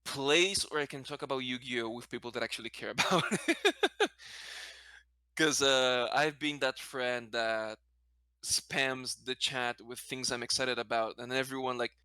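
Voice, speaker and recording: A man's voice: medium pitch, fairly even intonation, unhurried, sharp tone, smooth, no echo. A man from Brazil whose first language is Portuguese, answering as a guest in a casual interview, hesitant and often correcting himself. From Brazil, later a Spanish-speaking country.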